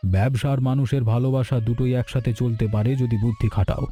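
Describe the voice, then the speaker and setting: A man's voice: medium pitch, steady tone, a little fast, mellow, soft background music, natural voice. A man playing a stern but fair village landlord in a cartoon, speaking with patronizing authority. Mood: approving. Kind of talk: Bengali moral-story cartoon dialogue.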